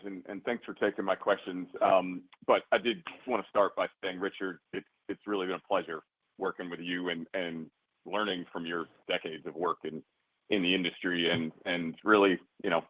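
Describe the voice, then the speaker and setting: Low-voiced male analyst on phone line. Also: steady tone. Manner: deliberate, polite, pausing as he builds questions. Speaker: financial analyst asking questions. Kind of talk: polite, unscripted earnings call questioning. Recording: dry, narrow phone line.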